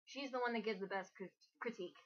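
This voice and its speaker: A woman's voice: medium pitch, fast, a bit bright, husky. A woman hosting a casual, mocking commentary, jokey and exasperated, with frequent swearing. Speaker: host of a dramatic reading commentary. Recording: dull lo-fi home recording.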